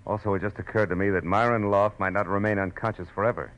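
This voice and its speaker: An American actor playing a tough, wisecracking private detective in an old radio drama, terse and slangy. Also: male voice, fairly low pitch, fairly even intonation, fast talker, fairly bright tone, highs cut off, natural voice. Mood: suspicious. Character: hard-boiled, wisecracking, suspicious male private detective. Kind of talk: 1940s-50s radio detective drama acting, first-person narration and dialogue. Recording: noisy old radio broadcast recording.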